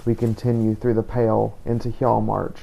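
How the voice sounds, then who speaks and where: Male narrator, grave vampire hunter journal: dark-toned, monotone, measured and solemn. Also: on the low side, gravelly, low-quality recording. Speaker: game player narrating a roleplay playthrough. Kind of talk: in-character scripted journal narration.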